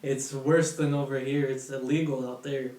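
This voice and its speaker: A man's voice: mid-range voice, flat delivery, normal speed, dark tone, far from the mic. Brazil-raised man, blunt casual talker, set back from the mic in an echoey room with faint background noise. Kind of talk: casual chat.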